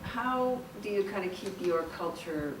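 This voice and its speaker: A woman in the audience asking the speaker curious, gently probing questions. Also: medium pitch, animated, measured pace. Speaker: audience member. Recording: echoey hall, distant mic, background noise.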